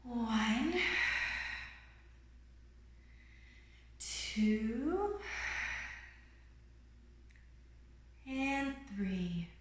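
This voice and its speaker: Woman voicing a bright, cheerful little storybook bird. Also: mid-range voice. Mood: soothing, encouraging. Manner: bubbly, reassuring, exclamatory. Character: cheerful small bird, encouraging guide to children. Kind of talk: children's picture book read-aloud. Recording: roomy, echoing room sound.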